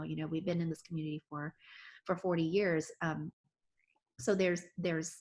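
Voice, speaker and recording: Woman doctor in an interview, lowish voice, earnest, lots of ums and restarts. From United States. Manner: earnest, many restarts and fillers. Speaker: physician interviewee. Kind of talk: oral history interview.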